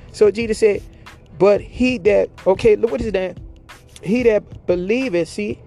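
A man preaching a fervent sermon, calling out to the congregation and swinging from low murmurs to high cries. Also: gravelly, darkish tone. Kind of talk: impassioned sermon.

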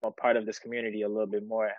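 A young man in his twenties from Chicago, telling a story on a student podcast in a steady, low-key way. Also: rapid-fire, no room sound. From Chicago, United States. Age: 20s. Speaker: student guest. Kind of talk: casual podcast conversation. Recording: dry, dull lo-fi sound.

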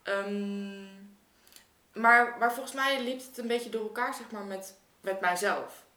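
A woman's voice: medium pitch, a little slow, pushed and bright. A woman in casual, reflective conversation, searching for her words as she goes. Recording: roomy, echoing room sound.

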